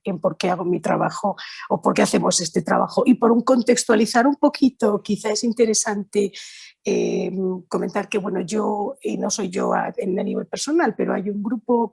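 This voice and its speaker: Gravelly, husky middle-aged Spanish woman. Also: medium pitch, a little mellow, dry recording. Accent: Spain Spanish. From Valencia, Spain. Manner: hesitant, searching phrasing with self-corrections. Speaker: human rights lawyer, panel speaker. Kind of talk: informal conference talk.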